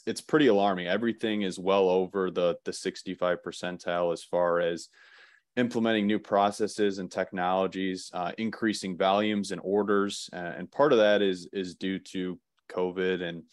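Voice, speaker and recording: A man presenting slides in an online webinar, relaxed, with many "um" fillers and restarts. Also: deep voice, normal speed, no echo, clean studio sound.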